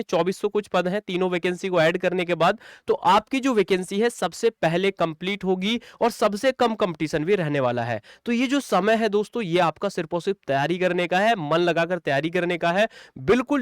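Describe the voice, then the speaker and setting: A man's voice: sits high, clear, resonant tone, no room sound, studio quality. A man giving an informal exam-coaching lecture, repeating points for emphasis and addressing students as brothers and friends. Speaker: online exam-coaching teacher.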